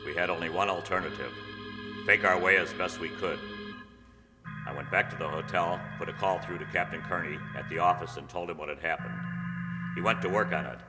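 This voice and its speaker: An actor playing a matter-of-fact, deadpan police detective in a 1950s-style radio crime drama. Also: male voice, sits low, natural voice. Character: male police detective narrating, posing as a drug buyer. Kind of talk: 1950s radio crime drama, clipped first-person narration. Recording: hall-like reverb, music underneath.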